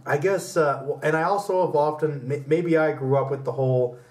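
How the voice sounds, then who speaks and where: A man's voice: on the high side, fairly even intonation, fairly quick, darkish tone. A man co-hosting a casual pop culture podcast, rambling and opinionated as he chats. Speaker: podcast host. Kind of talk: casual conversational debate about pop culture. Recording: roomy echo, distant from mic.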